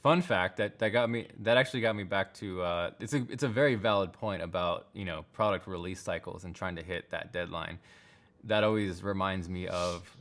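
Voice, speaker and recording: A man with a low-pitched voice. He talks casually as a guest in a discussion, offering opinions in a thoughtful, conversational way. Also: moderate pace, a bit bright. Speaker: podcast guest, tech YouTuber.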